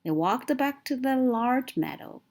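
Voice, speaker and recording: A woman reads a children's picture book aloud at an unhurried pace, and her pitch rises and falls widely for young listeners. Every line comes out clear and deliberate. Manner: slow, clear and expressive storytelling. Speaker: children's story reader.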